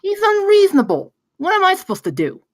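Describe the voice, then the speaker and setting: A woman playing a brash, excitable pitchman in a table read, telling things in an emphatic, sweary, conversational way. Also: fairly quick, no room sound, clean studio sound, full character voice. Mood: indignant. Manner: emphatic, profane, conversational storytelling. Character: brash, excitable male pitchman spinning a campfire tale.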